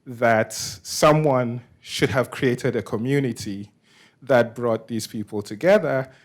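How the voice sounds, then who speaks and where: Halting male meetup speaker from Nigeria. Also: mid-range voice. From Nigeria, West Africa. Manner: halting, repeats words and restarts often. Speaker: meetup organizer and host. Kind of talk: informal presentation to a meetup.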